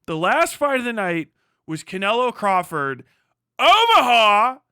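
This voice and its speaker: A man's voice: high-pitched, huge pitch swings, a little slow, sharp tone, clear, resonant tone, no echo, studio quality. A man hosting a livestream with casual, opinionated commentary, rambling and emphatic, swearing freely. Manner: rambling, heavily profane, emphatic. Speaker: livestream host.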